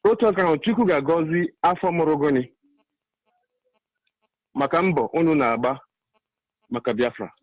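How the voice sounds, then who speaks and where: A man's voice: on the high side, normal speed, long pauses, dry recording, dull audio. A man with a Nigerian Igbo accent, speaking emphatically and repetitively as a caller giving an impassioned political monologue on a radio phone-in. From Ebonyi State, Nigeria.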